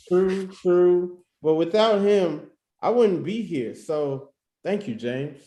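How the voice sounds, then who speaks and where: Buddy, male, early thirties, enthusiastic and positive, high voice, slower pace, read by an actor at a table read. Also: lively intonation, dark tone, echoey room. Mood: heartfelt.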